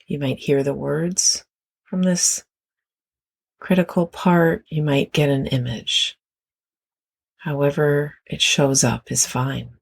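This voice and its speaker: Slow, husky meditation guide with creaky voice. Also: medium pitch, fairly even intonation. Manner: gentle, unhurried instruction with long pauses.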